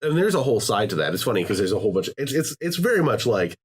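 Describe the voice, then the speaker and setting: A man with a fairly low voice hosts a casual podcast chat. He rambles, circling back with fillers and restarts as he thinks aloud. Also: big pitch swings, quick pace, husky. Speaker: podcast host.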